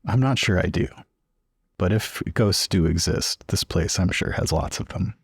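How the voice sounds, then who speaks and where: Very deep-voiced man roleplaying at the table. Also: normal speed, vocal fry, breathy edge, no room sound, studio quality. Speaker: tabletop game player. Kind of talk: casual in-character roleplay and narration.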